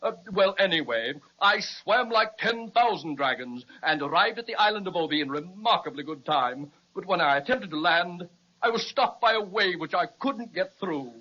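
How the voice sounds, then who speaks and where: A high, bright-voiced dragon fit for a children's radio serial. He is pompous and melodramatic, savoring long words as he boasts and laments. The audio is somewhat dull and lo-fi. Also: lively intonation, moderate pace, slightly rough, exaggerated voice. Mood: boastful.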